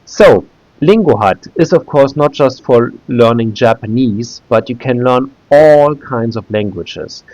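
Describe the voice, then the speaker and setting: A man's voice: mid-range voice, lively intonation, a little slow, a little mellow, dry recording, low-quality recording. A German man in his thirties, a native German speaker talking in English, walking through a website casually with frequent fillers and restarts. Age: 30s. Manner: hesitant, many "uh" fillers and restarts. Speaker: video creator. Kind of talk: casual screen-recorded walkthrough.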